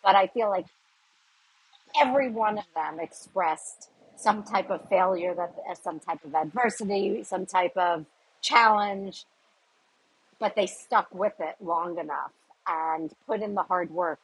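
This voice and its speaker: A woman co-hosting a podcast, a recruiter, talking in a lively, upbeat way. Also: on the low side, measured pace, husky, low-quality recording. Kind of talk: casual podcast chat.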